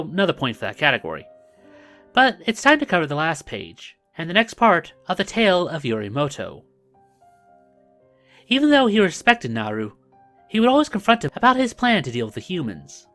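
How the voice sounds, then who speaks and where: An animated, mid-pitched host narrates a comic recap. The delivery is scripted with casual asides, and music plays behind the voice. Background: background music.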